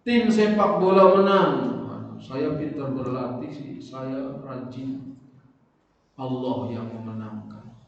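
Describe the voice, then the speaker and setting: A man preaching a religious lesson, lively, moving between loud stresses and quiet asides as he acts out everyday quotes. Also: medium pitch, slow pace. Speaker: Islamic preacher. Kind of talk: sermon-style religious lesson. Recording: echoey hall from far off, dull lo-fi.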